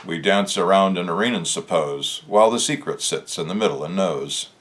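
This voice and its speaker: A man with a deep, low voice, a bit hoarse today, thinks aloud at his own pace. He hosts his own talk, reflective and casual, and stops often with "uh" while he gathers his thoughts. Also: fairly bright tone. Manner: musing, unhurried, with frequent "uh" pauses. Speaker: channel host discussing Masonic lore.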